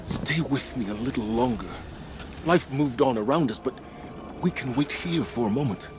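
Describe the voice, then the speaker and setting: A man playing a stoic veteran military commander in a video game, haunted by grief, halting and strained as he speaks. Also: mid-range voice, noisy background, close to an everyday voice. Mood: grieving. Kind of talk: dramatic video game acting. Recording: dramatic music behind the voice. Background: game music.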